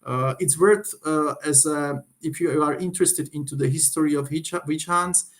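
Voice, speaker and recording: A man from Slovakia with a Slovak accent gives an informal, unscripted review, halting as he searches for words. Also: fairly high pitch, fairly even intonation. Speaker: occult book reviewer.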